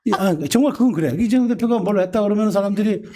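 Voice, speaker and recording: A man talking as a political commentator on a panel show, animated and quick, often restarting his sentences. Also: sits high. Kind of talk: casual political panel talk.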